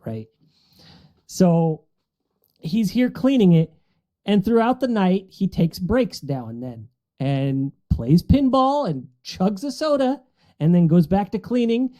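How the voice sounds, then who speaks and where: A man's voice: high-pitched, dark tone. A man chatting casually on a podcast about movies, telling things in a rambling, amused way. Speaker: podcast panelist.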